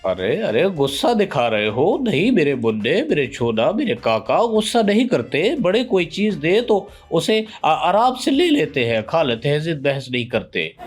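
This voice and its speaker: A man with a Pakistani Urdu accent playing a pompous, boastful veteran journalist guest in a comedy sketch, with deadpan boasts. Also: a little high, moderate pace, hardly any pauses, breathy edge. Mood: mocking. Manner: deadpan boasts, breaks into sung lines.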